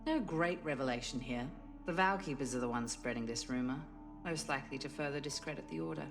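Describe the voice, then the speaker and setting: A woman voicing a wry, guarded spymaster in a fantasy video game, with a dry, measured, faintly dismissive manner. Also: extremely low pitch, lively intonation, music in the background. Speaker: video game quest-giver character. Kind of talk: scripted game voice acting.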